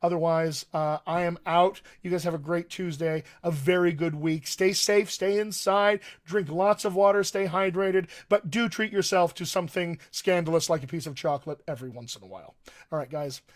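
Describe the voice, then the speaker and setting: A man with a slightly raised pitch talks as a dialect coach on a live stream to actors. He is chatty and encouraging and fills pauses with ums and uhs. It sounds like a simple computer mic at home. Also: fairly even intonation. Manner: chatty, encouraging, with many fillers. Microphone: webcam or computer mic on a live stream.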